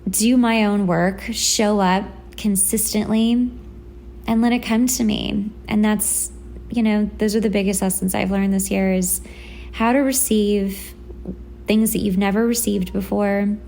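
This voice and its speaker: A woman's voice: mid-range voice, fairly even intonation, a little slow, creaky, darkish tone, a little hiss or hum. A woman hosting a casual motivational solo podcast, talking in an informal, conversational and emphatic way. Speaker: podcast host.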